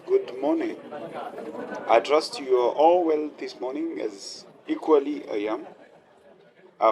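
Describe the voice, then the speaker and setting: A friendly male doctor and counselor played by an actor gives an interactive radio-drama talk. His mid-pitched voice works the listeners with questions and thanks, a light crowd behind him. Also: lightly acted, poor audio. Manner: engaging the audience with questions and thanks.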